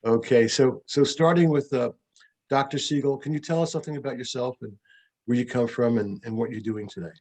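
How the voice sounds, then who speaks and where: A man's voice: medium pitch, brisk, darkish tone. A man hosting a video call interview with doctors, effusive and full of repeated compliments.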